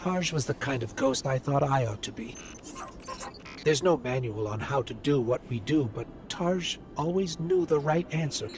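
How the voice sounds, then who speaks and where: An actor playing a small, dutiful robotic companion spirit in a video game, measured, sincere and reflective. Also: male voice, mid-range voice, over music, natural voice. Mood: solemn admiration. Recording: noisy game ambience behind voice.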